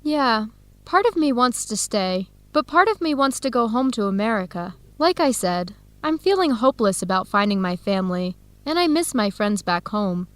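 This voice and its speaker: A disheartened student in her early twenties, earnest and torn. Her voice sits fairly high and is recorded dry and close. She speaks in carefully enunciated radio drama dialogue. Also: sing-song, normal speed, dry recording. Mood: torn, reflective. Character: disheartened 22-year-old Ethiopian-American woman student, earnest, torn. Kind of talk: radio drama acting, clear dialogue for language learners.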